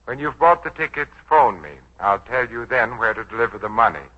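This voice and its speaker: An actor playing a suave, ruthless crime boss in a 1940s radio drama, speaking in a smooth, coolly condescending way. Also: male voice, mid-range voice, animated, fairly quick, bright, forceful tone. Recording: muffled vintage 1940s radio broadcast.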